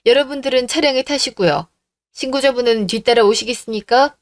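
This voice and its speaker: A woman's voice: on the high side, a little fast, dry recording, studio quality, close to an everyday voice. A woman voicing a businesslike, matter-of-fact police officer in a radio drama story.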